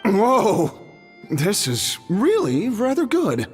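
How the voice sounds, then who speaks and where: A woman's voice: mid-range voice, wildly swooping pitch, slow pace, darkish tone. A woman voicing an eager, hesitant, easily surprised food judge in a video game, tentative in manner. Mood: excited. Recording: long reverb, faint music behind.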